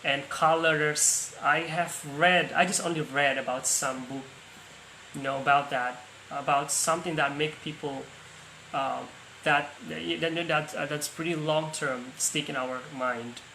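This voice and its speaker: A man in a casual online chat, talking in halting, repeated phrases. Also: a little high, fairly even intonation, vocal fry, bright, forceful tone. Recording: echoey room, faint noise.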